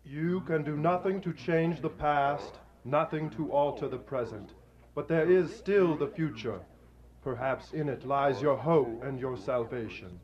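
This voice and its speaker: An actor playing a stern, solemn spirit in a radio drama, grave and declamatory. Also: male voice, on the high side, unhurried, darkish tone, close to an everyday voice. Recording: dull student radio recording.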